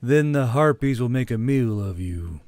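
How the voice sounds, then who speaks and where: A man's voice: mid-range voice, animated, moderate pace, a little mellow, dry recording, studio quality, lightly acted. Man as a weary, grim troop commander. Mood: menacing.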